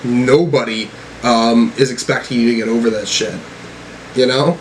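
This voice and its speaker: A man's voice: mid-range voice, steady tone, normal speed, rough recording. Rambling man reviewing music, audible background noise. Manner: rambling, profane, frequently checking with "right?" and "you know". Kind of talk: casual album reaction commentary.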